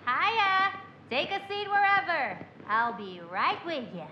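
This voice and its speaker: A chirpy diner waitress in an audio drama, drawing out her singsong patter slowly with big swings in pitch. The sound is slightly dull. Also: very animated, a little hiss or hum, full character voice. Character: chirpy, unhurried late-night diner waitress. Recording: dull audio with faint room ambience.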